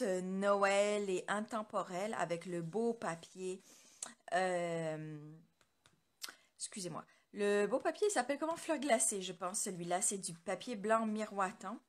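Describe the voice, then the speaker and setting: A woman from France hosting a live craft tutorial from her home studio, chatting loosely and greeting viewers by name. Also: medium pitch. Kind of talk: casual live tutorial chat. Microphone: computer camera mic.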